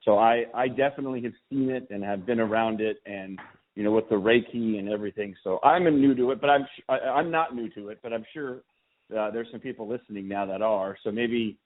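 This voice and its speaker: A man's voice: fairly low pitch, brisk. A man hosting a podcast, introducing guests and asking questions in a conversational way. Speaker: podcast host. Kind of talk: podcast interviewing. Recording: thin phone-like sound.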